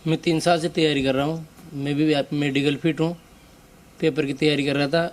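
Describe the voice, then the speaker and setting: A man's voice: on the high side, level tone, moderate pace. A young man preparing for the army, speaking plainly and a bit hesitantly. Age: young adult. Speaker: army aspirant.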